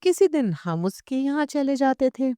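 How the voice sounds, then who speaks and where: A woman reading a literary short story as an audiobook narrator, in measured, expressive storytelling. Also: high-pitched, dry recording, studio quality.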